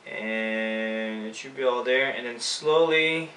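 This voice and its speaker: Echoey, bright-voiced Filipino-accented man. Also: medium pitch, slow pace. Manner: casual, unscripted, talks through each step. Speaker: screen printing instructor. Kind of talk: informal hands-on tutorial. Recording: echoey room, distant mic, faint noise.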